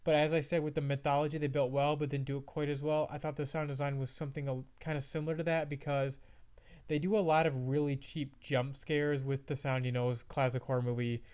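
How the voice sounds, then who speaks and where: Male host, clear smooth voice, slight head cold, casual review chat, somewhat dull recording. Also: fairly high pitch, flat delivery, fairly quick, a little mellow. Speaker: movie review show host. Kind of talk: casual movie review to viewers. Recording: slightly dull, band-limited audio.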